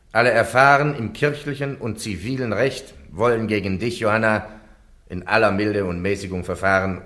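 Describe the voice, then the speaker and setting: A man's voice: on the low side, fairly bright tone, close to an everyday voice. An actor playing a bishop who formally admonishes and charges an accused woman. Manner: solemn, formal, measured. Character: solemn bishop presiding over a trial. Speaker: bishop and judge. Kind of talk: radio play acting.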